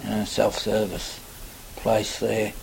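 An elderly man with an Australian accent recalls the past in a halting, rambling manner. His voice is fairly low and very even in pitch, with slow phrasing. There is a faint hiss on the recording. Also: gravelly, poor audio. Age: older, 70s or above. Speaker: interviewee recalling local history. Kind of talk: oral history reminiscence.

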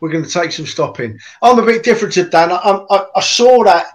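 A man in an excited podcast chat about football. He talks a little higher than most men and leans hard on his points, often saying them twice. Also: a little fast. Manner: animated, emphatic, repeats himself for effect. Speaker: podcast co-host.

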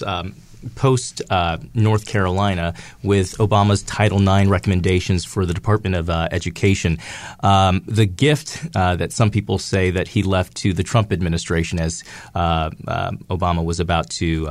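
A man with a cold, a news anchor guesting on a studio show, talking in a measured, hesitant way with many fillers. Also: fairly low pitch. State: has a cold. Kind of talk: studio interview. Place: radio studio. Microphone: studio mic.

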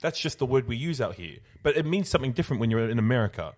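A man's voice: mid-range voice, animated, very fast. A man co-hosting a podcast, pressing his points with question after question in a casual debate. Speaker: podcast co-host.

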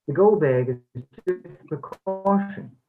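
A man reading a reflective passage and a stubborn husband's lines, calm and measured. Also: sits high, music in the background. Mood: dismissive. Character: stubborn husband who wanted to stay. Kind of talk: readers theatre. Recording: tinny phone-like sound.

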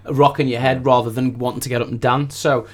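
Male recording artist, mid-pitched voice, chatty and informal, relaxed studio interview delivery. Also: fairly quick. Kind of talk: casual studio interview chat.

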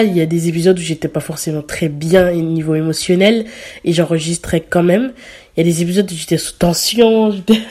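A young woman hosting her own podcast, talking earnestly in a halting way with many fillers and restarts, a little stuffy from a slight cold. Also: fairly low pitch, warm tone. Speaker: podcast host. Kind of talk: casual solo podcast talk.

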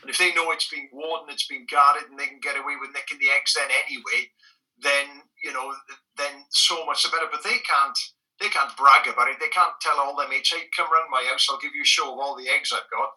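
A man's voice: a little high, fairly quick, distant mic, rough recording. A middle-aged Welsh man, a native Welsh speaker, telling frank anecdotes with lots of restarts as a guest in a conversational interview. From Wales. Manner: frank, animated storyteller with frequent restarts. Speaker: guest, former bird protection officer.

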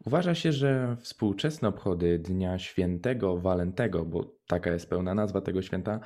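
Low, mellow young man. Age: young adult. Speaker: radio show co-host. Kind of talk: scripted narration and casual radio chat.

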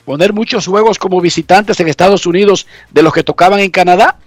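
A man with a notably high voice hosts a casual radio show from his garage, sounding congested with a cold. He talks in a chatty, emphatic way and often repeats his points. The sound is dry and close, with faint music underneath. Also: fairly quick, no echo. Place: home garage.

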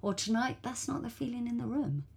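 A woman's voice: fairly high pitch, expressive, a little fast, vocal fry, a little mellow, husky. A woman storyteller talking casually and thoughtfully on a podcast, often affirming the others. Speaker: storyteller guest.

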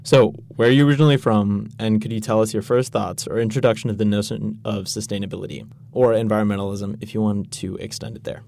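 Fast, gravelly young man reading an introduction. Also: fairly low pitch, fairly even intonation, a little mellow, no room sound. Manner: formal, listing credentials. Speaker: student interviewer, co-host.

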